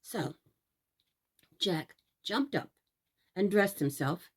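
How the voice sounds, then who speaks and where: A woman reading aloud as a children's storyteller, theatrical and deliberate, speaking directly to young listeners. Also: fairly low pitch, expressive, breathy edge. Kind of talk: expressive read-aloud storytelling.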